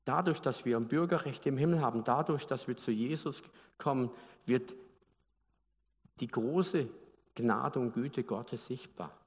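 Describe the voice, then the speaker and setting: Preacher, male, from Baden, mid pitch, chatty sermon delivery, long reverb of a church hall. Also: a little slow. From Baden, Germany. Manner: conversational, asks the congregation questions.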